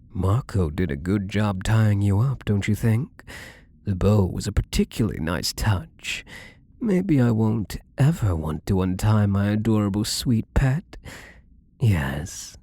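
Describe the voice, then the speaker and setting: A man's voice: lowish voice, dark tone, full character voice. A man playing a wealthy, obsessively devoted and controlling gambler in an intimate ASMR roleplay, with doting, menacingly tender delivery and formal wording. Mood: teasing, possessive.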